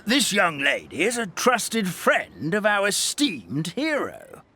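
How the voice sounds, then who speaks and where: A man's voice: high-pitched, huge pitch swings, slow pace, bright, forceful tone, slight background noise, heavily acted. A man voicing a kindly, courteous old grandfather of forest folk in a video game, speaking in formal, flowery phrases. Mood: mildly chiding. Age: older. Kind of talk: theatrical game dialogue. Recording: music behind the voice.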